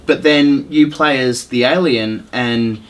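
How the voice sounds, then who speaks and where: A man in easy podcast conversation, speaking at a medium pitch. He gives his opinions freely in informal, slangy language, as a co-host would. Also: slow. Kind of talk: casual opinionated chat.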